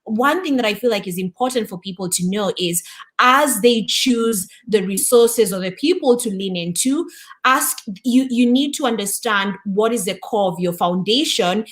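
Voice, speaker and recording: A woman's voice: medium pitch, lively intonation, normal speed. A woman from Kenya co-hosting a coaching talk, speaking candidly and affirming her partner. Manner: warm, candid, affirming, with frequent fillers. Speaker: co-host. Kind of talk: casual conversation.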